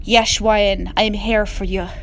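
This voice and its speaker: Woman putting on a loud Scottish ogre hero. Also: mid-range voice, level tone, normal speed, natural voice. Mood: protective, tender. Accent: mock Scottish. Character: gallant male ogre, loud, protective, angry Scottish rescuer. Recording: clear background noise.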